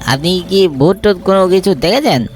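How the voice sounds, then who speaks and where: Man doing a high-pitched sceptical young villager. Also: animated, moderate pace, faint music behind. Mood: dismissive, curious. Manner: dismissive, questioning.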